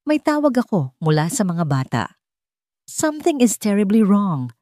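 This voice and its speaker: A Filipino woman voicing a commanding, driven male boss in an audiobook, calm but firm and authoritative. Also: medium pitch, moderate pace, no echo, clean studio sound, voice pushed up, close to an everyday voice. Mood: urgent, commanding. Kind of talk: audiobook character acting.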